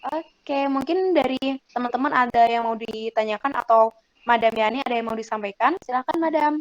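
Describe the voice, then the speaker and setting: A woman's voice: brisk, dull audio. A woman, moderating an online class and chatting upbeat to students about a course. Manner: chatty, upbeat sales pitch. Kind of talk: casual promotional chat to students.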